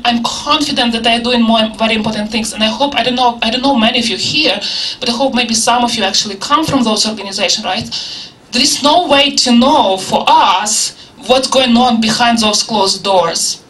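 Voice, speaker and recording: Panelist: a woman whose first language is Ukrainian, with a bright, clear-cut voice, earnestly thinking aloud and heard from a distance. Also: a little high, moderate pace. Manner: earnest, searching, with many restarts and asides of "right?". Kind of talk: unscripted talk at a panel discussion. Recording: distant mic with faint room noise.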